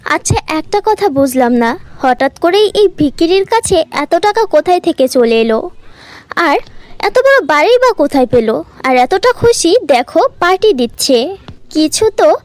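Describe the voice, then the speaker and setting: A woman voicing an envious, greedy young girl in a cartoon fairy tale, shrill and emphatic. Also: big pitch swings, a little slow, no room sound. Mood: suspicious.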